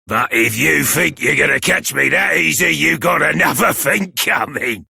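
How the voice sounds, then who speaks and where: An actor playing a cheeky, roguish bandit boss in a video game, with a loud, raspy Cockney voice. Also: male voice, fairly high pitch, animated, normal speed, no room sound, studio quality, full character voice. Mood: taunting. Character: cheeky roguish bandit boss, slippery and pleading when cornered. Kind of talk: video game character acting.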